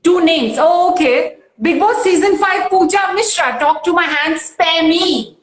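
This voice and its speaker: A woman's voice: extremely high pitch, measured pace, echoey room. A woman guest chatting in an interview, emotional and bubbly, with lots of fillers. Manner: emotional and bubbly, laughs often, many fillers. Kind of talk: casual interview chat.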